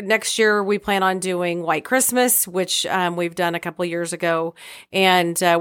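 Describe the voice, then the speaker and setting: A woman's voice: on the low side, a bit bright, clean tone. An American woman chatting informally and enthusiastically as a guest in an interview about directing. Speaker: guest, community theater director. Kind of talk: casual interview chat.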